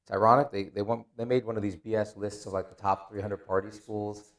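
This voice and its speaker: Low, gravelly Israeli-accented man. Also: level tone. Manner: dry self-deprecating humor, quick asides. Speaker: guest. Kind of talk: casual interview.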